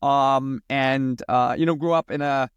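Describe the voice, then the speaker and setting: A man's voice: mid-range voice, steady tone, a little slow, clear, resonant tone, no room sound, highs cut off. A man from Germany with a German accent, answering conversationally as a founder interviewed on a podcast. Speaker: podcast guest, company founder and CEO.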